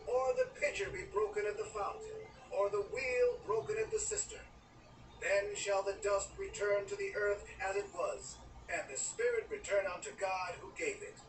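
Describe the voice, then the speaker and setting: A man's voice: sits high, reverberant, recorded from a distance, background noise. A man playing a solemn small-town preacher declaiming scripture in a radio drama.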